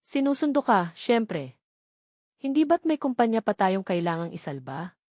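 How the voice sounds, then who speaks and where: A woman's voice: medium pitch, big pitch swings, moderate pace, a little mellow, no room sound. A woman narrator plays a glamorous villainess in an audiobook romance. She is haughty and condescending, with sugary venom in her taunts, recorded close and dry. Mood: taunting. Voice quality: sweet voice with a venomous edge. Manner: taunting, condescending, mixes English with Tagalog. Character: glamorous, haughty female villain, sweetly venomous business partner.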